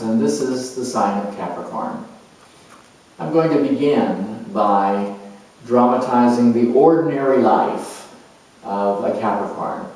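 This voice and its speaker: Slow, deliberate man in echoey room. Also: fairly low pitch, mellow. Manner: measured, deliberate, with pauses. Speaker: astrologer and teacher. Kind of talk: explanatory introduction to camera. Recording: distant mic in echoey room.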